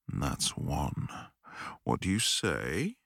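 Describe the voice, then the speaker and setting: Slow, gravelly, husky-voiced man. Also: very deep voice, fairly bright tone, no room sound. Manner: dominant, commanding, often whispered. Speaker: audio roleplay performer. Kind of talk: intimate audio roleplay.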